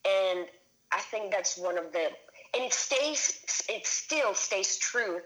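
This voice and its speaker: A woman's voice: mid-range voice, animated, slow pace, fairly bright tone, low-quality recording. A woman in her thirties from Venezuela, a filmmaker being interviewed, talking casually with many restarts and fillers. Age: 30s. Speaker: guest filmmaker.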